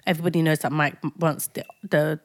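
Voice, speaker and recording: A woman, co-hosting a casual podcast chat, teasing and quick to question and interject. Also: low-pitched, little pitch movement. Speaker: podcast co-host.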